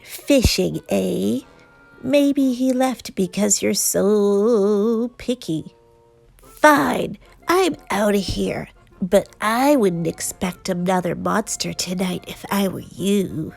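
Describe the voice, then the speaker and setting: A woman's voice: medium pitch, slow pace, gravelly, darkish tone, faint music behind. A woman voicing a big, scruffy boy monster in a children's storybook, drawling and whiny as he tries to sound terrifying. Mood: whiny, huffy.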